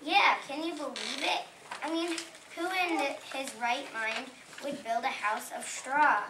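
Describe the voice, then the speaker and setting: A woman's voice: high-pitched, measured pace. A woman playing an aggrieved, cheeky storybook wolf who tells the tale defensively in a readers' theater reading. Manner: defensive, self-justifying storytelling. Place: library room, distant microphone. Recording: echoey, noisy room from far off.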